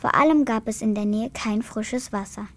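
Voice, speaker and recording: An earnest young girl acted by a female performer in a children's radio play. Her voice sits fairly high, and she speaks with a stubborn sincerity that can turn to pleading. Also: moderate pace, close to an everyday voice. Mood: reading aloud.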